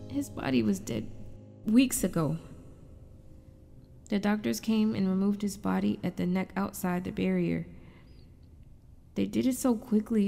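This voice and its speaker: A woman's voice: medium pitch, creaky, mellow. A woman playing an anguished but determined wife and mother in an audio drama, narrating in a confiding, reflective way. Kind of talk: first-person dramatic narration.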